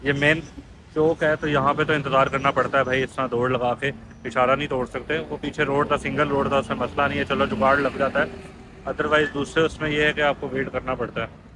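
A man's voice: medium pitch, flat delivery, brisk. A man in his thirties with a Pakistani Urdu accent, chatting casually and explaining things as he walks, recorded outdoors on a phone held at arm's length. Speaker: vlogger. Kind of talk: casual walking vlog. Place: outdoors on a busy city street. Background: traffic noise.

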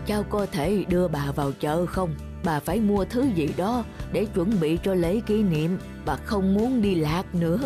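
An actress playing a gentle, devoted elderly wife in a cartoon, speaking earnestly and tenderly. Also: female voice, lowish voice, measured pace, few pauses, over music, close to an everyday voice. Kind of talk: cartoon dub acting.

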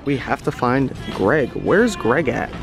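A man's voice: mid-range voice, big pitch swings, takes it slowly, music in the background. A man hosting a casual shopping vlog, chatting to viewers as he goes, recorded on a handheld camera. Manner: chatty, addresses viewers as guys. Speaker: vlog host and reseller on a thrifting trip. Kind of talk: casual running commentary while shopping. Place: thrift stores and parking lots. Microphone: handheld camera mic.

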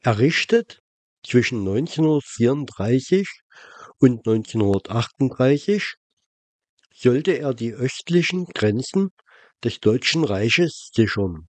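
A man reading scripted documentary narration in a measured, factual manner. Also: mid-range voice, expressive, a little mellow, no room sound. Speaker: video narrator. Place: dry voice-over recording.

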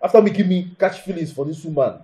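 An actor playing an aggrieved, entitled husband in a film drama, with a Nigerian accent and an indignant, repetitive manner full of rhetorical questions. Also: male voice, fairly high pitch, fast, dark tone, lightly acted. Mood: sullen, aggrieved. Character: aggrieved, hot-tempered, entitled husband bound to tradition. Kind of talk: naturalistic film drama acting.

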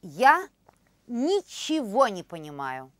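A woman in her thirties demonstrating phrases for a language course, repeating each one slowly and carefully in a studio. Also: medium pitch, wildly swooping pitch, fairly bright tone, no room sound. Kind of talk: slow, clear phrase demonstration. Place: studio with orange backdrop.

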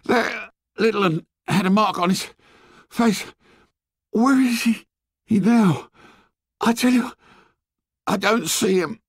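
Storybook schoolboy outsider: very high pitch, whining indignation, swooping delivery. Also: male voice, lively intonation, slow, creaky, fairly bright tone, dry recording, studio quality, exaggerated voice. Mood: frightened, gasping. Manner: shrill scolding tirade in ungrammatical speech.

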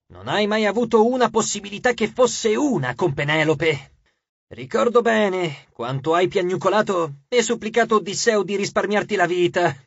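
A man voicing a scornful, sharp-toothed ghost suitor with a mocking, domineering manner. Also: high voice, creaky, husky, no room sound, highs cut off, exaggerated voice.

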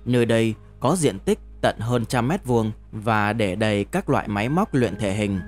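Guy reading a novel for an audiobook, lowish voice, measured and deliberate, bit of music under it. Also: lively intonation. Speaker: audiobook narrator. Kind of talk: scripted novel narration.

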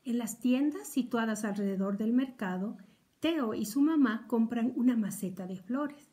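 Mellow older woman reading a story. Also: on the high side, normal speed. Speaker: elementary school teacher reading aloud.

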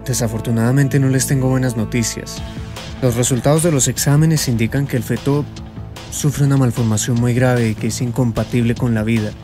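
An actor with a Colombian accent playing a grave, formal gynecologist in a radio drama. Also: male voice, mid-range voice, steady tone, brisk, music in the background, close to an everyday voice. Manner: grave, clinical.

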